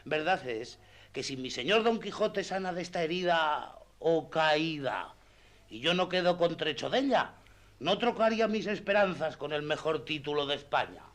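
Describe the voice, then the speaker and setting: A chatty, down-to-earth squire for radio theatre. His voice sits somewhat high for a man. He explains things with folksy self-importance and boasts on his master's behalf. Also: animated, moderate pace, fairly bright tone. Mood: hopeful.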